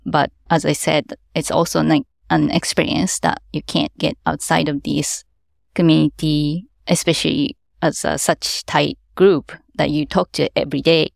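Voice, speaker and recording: A woman with a low, heavily gravelly voice speaks careful non-native English; her first language is Japanese. She explains things earnestly and laughs lightly along the way. The sound is dry and close, with no room around it. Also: dry recording. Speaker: event organizer. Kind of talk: interview answers.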